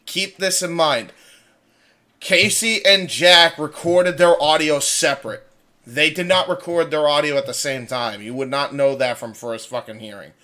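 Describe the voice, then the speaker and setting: A man's voice: on the high side, measured pace, sharp tone. A man hosting a livestream, explaining his editing in an informal, rambling, stop-start way. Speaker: livestream host and audio creator. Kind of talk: casual behind-the-scenes commentary.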